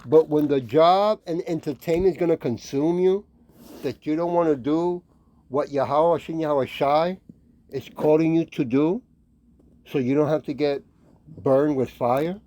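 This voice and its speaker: Man preaching informally in a bright voice. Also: on the high side, unhurried. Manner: conversational, peppered with "right?" and "okay?" tags; admonishing, warning. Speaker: religious street-style preacher and teacher. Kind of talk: informal sermon with scripture reading.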